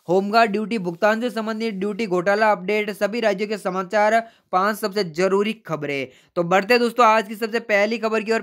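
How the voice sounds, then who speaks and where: A man's voice: high-pitched, fairly bright tone. A man hosting a YouTube news channel, reading out official letters and news updates in a chatty way that addresses viewers as friends. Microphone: voice-over on screen recording.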